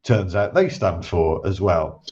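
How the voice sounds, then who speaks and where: A man hosting a casual football podcast, husky from a cold, teasing his co-host and reeling off stats dryly. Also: low-pitched, expressive, unhurried, a little mellow. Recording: dull lo-fi remote audio with faint hiss.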